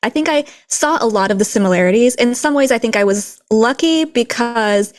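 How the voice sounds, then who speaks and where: A woman's voice: fairly high pitch, animated, brisk, no room sound. Easygoing woman podcast co-host. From Philippines, raised in a Texas border town. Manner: easygoing, reflective, laughs lightly. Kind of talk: casual conversational podcast chat.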